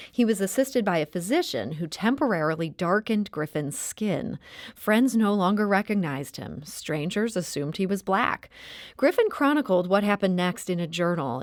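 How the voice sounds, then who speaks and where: A woman hosting a radio talk show, introducing and interviewing in a measured, articulate way. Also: fairly low pitch, no echo, studio quality.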